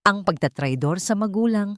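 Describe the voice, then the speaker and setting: A woman narrating a dramatic audio story in a steady storytelling read. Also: mid-range voice, moderate pace, no echo, studio quality.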